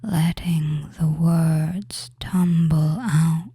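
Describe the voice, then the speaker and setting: A performer voicing a possessive, doting witch in a whispered hypnosis roleplay, lulling and controlling, with deliberate pauses and a breathy delivery. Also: medium pitch, fairly even intonation, warm tone, dry recording, clean studio sound, full character voice.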